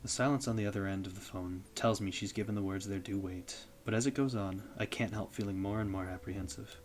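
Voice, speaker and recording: Young male streamer, low voice, steady story read with small slips. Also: quick pace. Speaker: streamer reading a visual novel. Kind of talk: reading a visual novel aloud.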